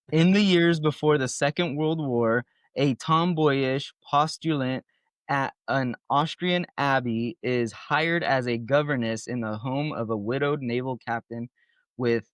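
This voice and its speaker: A man's voice: mid-range voice, a bit bright, dry recording. A man co-hosting a casual film podcast, laid-back and full of ums and likes. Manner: laid-back, many fillers like um and like. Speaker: podcast co-host.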